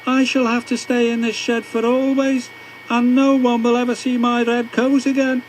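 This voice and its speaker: A man voicing a young male red steam engine who frets and then pushes on, in a high plaintive voice. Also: completely flat delivery, unhurried, a little hiss or hum, low-quality recording. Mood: sad.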